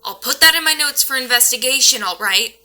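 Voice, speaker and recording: A woman's voice: high-pitched, animated, brisk, sharp tone, exaggerated voice. A woman playing a skeptical, level-headed paranormal investigator in an audio drama, dry and matter-of-fact. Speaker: actor in an audio play. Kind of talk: modern naturalistic audio drama.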